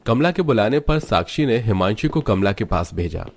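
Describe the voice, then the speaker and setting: A man narrating a cartoon story in a steady, dramatic storytelling manner. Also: fairly low pitch, normal speed, no echo.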